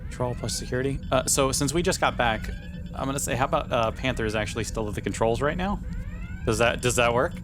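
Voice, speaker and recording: A man's voice: medium pitch, fast, music in the background. A man talking casually as a player in a tabletop role-playing game.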